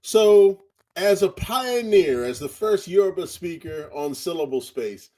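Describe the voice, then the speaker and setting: Upbeat male interviewer, slightly high. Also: lively intonation, measured pace, mellow. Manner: upbeat, encouraging, repeats praise. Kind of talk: interview questions.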